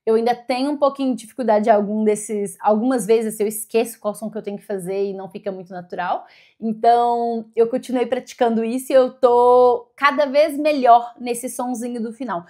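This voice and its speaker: A woman's voice: fairly high pitch, steady tone, brisk, warm tone. A woman from Brazil giving a casual vlog update, chatty, with many fillers and self-corrections. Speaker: vlogger.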